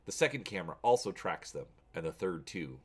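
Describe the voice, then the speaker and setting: A man's voice: deep voice, lively intonation, a little fast, breathy edge. A man hosting a channel, talking casually to viewers and reading an adventure story aloud with drama.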